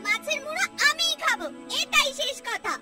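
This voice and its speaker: A greedy, stubborn woman from a family cartoon. The voice is squeakily high and whiny, always bossing and arguing. It sounds set back from the mic, with clear music behind it. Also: expressive, normal speed, recorded from a distance. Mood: stubborn, bossy. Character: greedy, stubborn married woman visiting her parents' home. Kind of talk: cartoon voice acting, Bengali family comedy.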